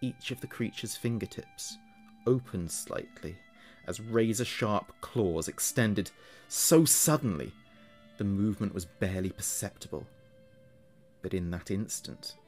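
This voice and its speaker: Male narrator for horror audio: low register, very slow and ominous, dramatic scripted read, soft music bed. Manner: measured, dramatic, ominous delivery. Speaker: horror storyteller.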